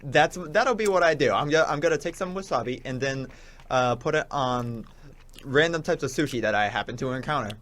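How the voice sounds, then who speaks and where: A young man with a middle-pitched voice talks casually as a show guest. He rambles through his stories, often hesitating and circling back as he goes. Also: expressive, a little fast, a bit bright. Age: young adult. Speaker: returning radio show guest. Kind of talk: casual chat.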